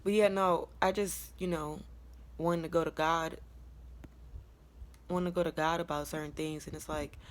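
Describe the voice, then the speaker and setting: Low-voiced American woman, chatting candidly. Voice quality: low-toned, deep voice. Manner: candid, colloquial, rambling, chuckles as she talks. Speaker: vlogger sharing a personal testimony. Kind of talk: casual confessional storytelling to camera.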